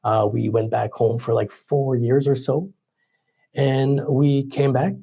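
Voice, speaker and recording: A man in his forties with a French-Canadian accent, a podcast guest telling his story in a relaxed, casual way with many ums and pauses. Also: medium pitch, vocal fry, lo-fi sound. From Ottawa, Canada. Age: 40s.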